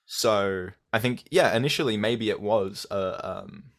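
Soft-spoken Australian man, a bit low. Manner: measured, thoughtful, frequent "um" restarts. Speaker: guest, audio content creator. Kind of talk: casual interview answers.

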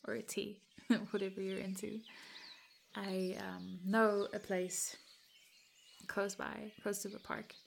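A South African woman in her twenties whose first language is Afrikaans. She chats to a follower in a giggly, hesitant, soft-spoken roleplay and sometimes drops to a whisper. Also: mid-range voice, little pitch movement, slow pace, creaky, a little hiss or hum. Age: 20s. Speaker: content creator addressing a follower. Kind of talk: roleplay chat to the listener.

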